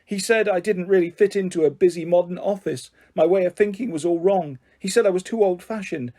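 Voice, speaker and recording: A man's voice: high voice, fairly quick, dark tone. A man reading the part of a middle-aged, old-fashioned mother with an earnest, wavering way of talking. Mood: tearful.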